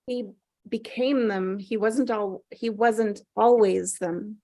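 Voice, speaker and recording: A woman playing a wistful, sorrowful mother in a dramatic script read, earnest, in short measured phrases. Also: medium pitch, steady tone, mellow, no echo. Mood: pained, firm. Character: wistful, sorrowful mother clinging to her child.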